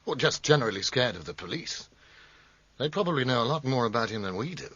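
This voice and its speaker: An actor playing an earnest, anxious, concerned friend with emphatic delivery in a radio drama. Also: male voice, mid-range voice, animated, quick pace, a bit bright, husky, dry recording. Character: jittery, concerned musician friend, urging help. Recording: dull, slightly muffled radio recording.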